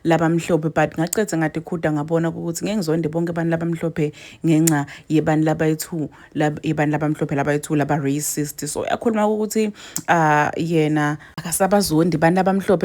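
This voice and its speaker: A woman's voice: sits low, steady tone, fast, darkish tone. A woman with a South African accent hosting casual commentary in a chatty way, switching languages mid-sentence. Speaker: online commentary host.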